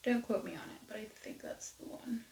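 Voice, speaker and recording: Woman w a fading voice doing makeup talk, kinda high, echoey room, soft half-whispers. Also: normal speed, vocal fry, slight background noise. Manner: chatty and rambling, soft near-whispered asides. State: losing her voice. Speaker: beauty vlogger. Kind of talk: casual get-ready-with-me makeup chat. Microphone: close mic at desk.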